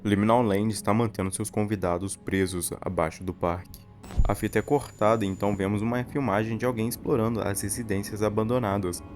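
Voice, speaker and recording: A man's voice: fairly low pitch, fairly even intonation, a little fast, faint music behind. A man with a Brazilian accent, telling a horror story as scripted narration for an explainer video.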